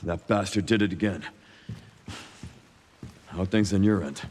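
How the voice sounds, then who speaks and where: A man's voice: low voice, unhurried, darkish tone, husky. An actor playing a grim male agent tracking a killer, who speaks in short, clipped orders.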